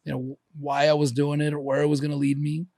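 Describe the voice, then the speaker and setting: A man's voice: fairly high pitch, completely flat delivery, quick pace, vocal fry, mellow, no echo, studio quality. A man hosting a podcast, asking rambling questions and swearing casually. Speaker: podcast host. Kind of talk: casual interview chat.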